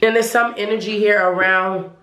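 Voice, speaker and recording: A woman talks her viewers through a pick-a-card tarot reading in a roomy, echoing space, her voice pitched in the middle of the range. She sounds hoarse because her voice is going. She rambles and halts, restarting her sentences again and again. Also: fairly even intonation, moderate pace, sharp tone. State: losing her voice. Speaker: tarot reader.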